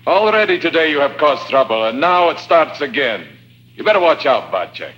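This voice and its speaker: An actor playing a stern, threatening prison-camp food server with clipped, menacing phrasing in 1950s radio drama style. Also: male voice, a little high, sing-song, normal speed. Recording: dull vintage radio recording.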